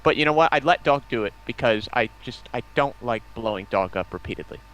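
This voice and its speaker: A man's voice: mid-range voice, animated, a little fast, a bit bright, poor audio. A man appearing as a guest on a livestream, talking in casual banter. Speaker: stream guest.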